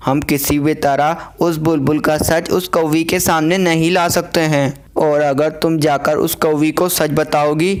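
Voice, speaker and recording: A man's voice: on the high side, steady tone, measured pace, hardly any pauses, clear, resonant tone, natural voice. An actor playing a scheming but helpful male owl in a children's cartoon, advising in a persuasive, matter-of-fact way. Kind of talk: children's cartoon voice acting in Hindi.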